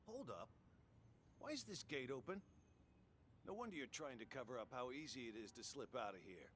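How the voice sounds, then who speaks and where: A man's voice: mid-range voice, animated, normal speed, fairly bright tone, slight background noise, lightly acted. An actor playing a skeptical, impatient police detective in a radio drama, blunt and sardonic in his orders. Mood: commanding. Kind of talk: interactive radio drama acting.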